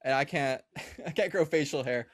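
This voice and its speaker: A man in his twenties hosting a podcast, chatting in a relaxed, chummy way with short reactions. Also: on the high side, normal speed, no room sound. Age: 20s. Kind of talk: casual chat.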